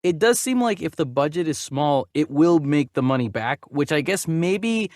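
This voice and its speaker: A man's voice: on the high side, lively intonation, normal speed, darkish tone, no room sound, studio quality. A man co-hosting a movie news podcast, talking casually and conversationally, sometimes reading news items aloud. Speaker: podcast co-host and voice actor.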